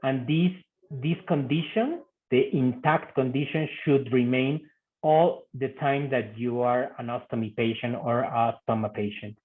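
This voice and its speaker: A man from Mexico speaking English with a Mexican Spanish accent, giving an online medical talk in a halting way with many fillers and repeated words. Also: mid-range voice. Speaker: guest speaker, physician. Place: video call. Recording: tinny phone-like video call audio.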